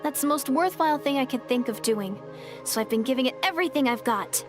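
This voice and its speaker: High-voiced earnest young adventurer. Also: female voice, animated, brisk. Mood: determined. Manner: sincere, wistful storytelling. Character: earnest young village woman adventurer, dreamy and determined. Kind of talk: video game voice acting. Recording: music playing behind.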